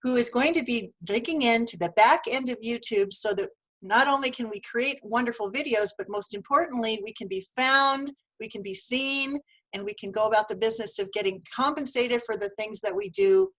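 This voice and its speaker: A woman's voice: a little high, expressive, moderate pace, fairly bright tone, poor audio. A woman hosting a live webinar over a video call, upbeat and effusive as she introduces her guest. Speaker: host.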